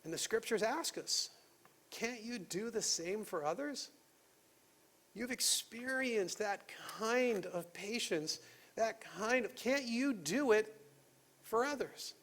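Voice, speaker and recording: A pastor with a notably high voice delivers a Sunday sermon in a church. He talks in a relaxed, conversational way, full of rhetorical questions, and lowers his voice to stress the key points. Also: slow pace. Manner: conversational preaching with rhetorical questions, dropping to quiet emphasis. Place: church sanctuary.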